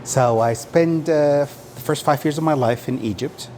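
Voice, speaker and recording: A man's voice: fairly high pitch, normal speed, warm tone. Arabic-native man, noisy background. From Saudi Arabia. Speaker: interview guest. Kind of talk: casual interview answers.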